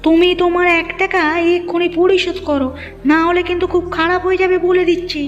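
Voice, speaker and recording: A woman's voice: very high voice, flat delivery, fast talker, big-room sound. A woman voicing a quarrelsome, stingy village fishmonger in a cartoon, pushy and threatening. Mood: demanding, threatening. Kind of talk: cartoon dialogue acting. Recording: muffled narrow-band audio with music.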